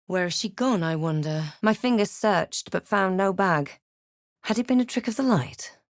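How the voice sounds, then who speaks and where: A woman voicing a wry, observant adventurer heroine in a video game, speaking scripted lines and narration in a measured, understated way. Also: medium pitch, expressive, dry recording, studio quality, natural voice. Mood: measured, intent. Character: female adventurer hero, wry, observant, quietly guarded. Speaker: video game player character.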